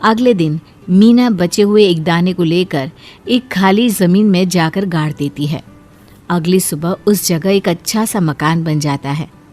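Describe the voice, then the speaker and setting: A woman narrating a moral cartoon story in a steady, clear storytelling manner. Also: lowish voice, a little slow, faint music behind.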